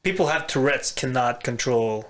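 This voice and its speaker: A man's voice: mid-range voice, normal speed, fairly bright tone. Hesitant man with a Filipino accent. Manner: hesitant, many fillers and repeats. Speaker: podcast co-host. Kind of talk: casual chat.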